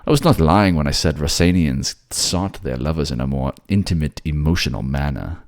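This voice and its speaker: Man playing a deep, silky manipulative captor. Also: animated, normal speed, darkish tone, no room sound, clean studio sound. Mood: possessive coaxing. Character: suave manipulative male water-folk ruler, soothing captor. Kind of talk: intimate ASMR audio drama acting.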